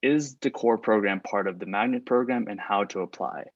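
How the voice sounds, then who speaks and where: A young man whose first language is Chinese talks through student questions in a relaxed, rambling way, with plenty of "like" and false starts. His voice sits low. The recording is dull and dry. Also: normal speed, no room sound. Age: young adult. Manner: chatty, many "like" fillers and restarts. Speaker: student panelist reading out questions. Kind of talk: casual Q&A chat.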